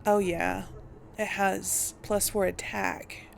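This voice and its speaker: A woman's voice: medium pitch, level tone, slow, vocal fry, a little hiss or hum. A woman giving casual gameplay commentary as a host, hesitantly thinking aloud. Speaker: host of a game role-play series.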